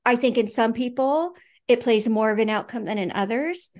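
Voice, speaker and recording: A woman's voice: a little high, moderate pace, darkish tone. A woman co-hosting a faith podcast in casual conversation, earnest and storytelling, with fillers and restarts. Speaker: podcast co-host.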